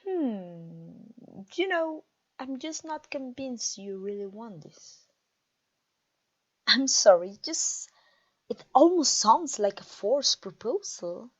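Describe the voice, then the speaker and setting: A woman playing a haughty, mocking vampire queen in a theatrical ASMR roleplay, pompous and teasing, with drawn-out phrasing. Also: a little high, sing-song, heavily acted. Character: haughty, mocking, conquering vampire queen taunting her captive. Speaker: audio roleplay voice actor.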